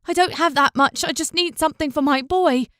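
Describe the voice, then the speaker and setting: A woman's voice: sits high, brisk, no room sound, clean studio sound, pitched above the performer's own voice, natural voice. A woman voicing a desperate, poor young mother in an audiobook, speaking in a strained, pleading way. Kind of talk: audiobook character voice.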